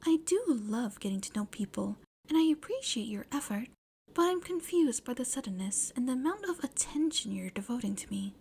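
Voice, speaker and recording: A woman acts out a teenage schoolgirl for an audio story. The voice is high and soft, often breathy, and the delivery is polite, halting and easily flustered. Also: expressive, exaggerated voice. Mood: gently puzzled.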